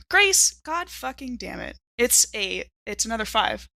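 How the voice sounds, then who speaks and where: Lively, bright-voiced woman playing a tabletop game. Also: fairly high pitch, a little slow, no room sound. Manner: expressive, reactive. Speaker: player in a tabletop roleplaying game. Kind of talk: casual, playful game-table chat.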